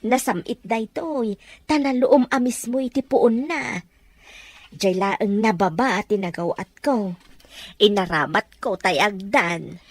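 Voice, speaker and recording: A woman's voice: medium pitch, takes it slowly. A woman with an Ilocano accent playing a worried older mother in a radio drama, fretful and protective. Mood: tender. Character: worried older mother fussing over her daughter. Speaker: visiting mother.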